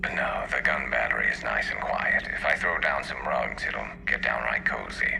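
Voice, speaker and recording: Guarded, wry alien loner, game role: rock-bottom gravel, forceful edge. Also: male voice, moderate pace, slight background noise, heavily acted. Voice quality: very gravelly, rasping low voice. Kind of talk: video game character dialogue.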